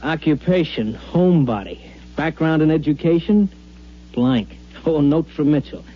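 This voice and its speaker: Skeptical eager swindler's sidekick, American, highish voice, wisecracks, 1950s radio drama, dull vintage recording. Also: male voice, lively intonation, normal speed. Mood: dryly sarcastic. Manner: wisecracking, slangy. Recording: band-limited, humming 1950s radio recording.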